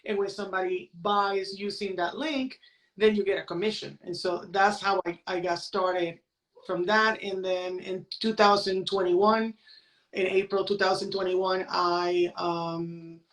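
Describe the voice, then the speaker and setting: A woman's voice: mid-range voice, steady tone, a little slow, a bit bright, rough recording. A woman, explaining things conversationally as a podcast guest and checking in with "right?". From San Juan, Puerto Rico. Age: late 40s. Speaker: podcast guest, crypto educator. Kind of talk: casual conversational interview.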